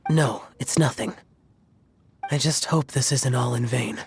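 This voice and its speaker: An actor playing a reserved, practical young wanderer in a video game, measured and understated with a melancholy air. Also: mid-range voice, slight background noise, close to an everyday voice. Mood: wistful.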